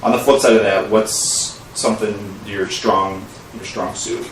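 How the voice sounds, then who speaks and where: A man's voice: fairly low pitch, fairly even intonation, unhurried. A man asking questions conversationally as an interviewer. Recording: roomy echo, distant mic, faint noise.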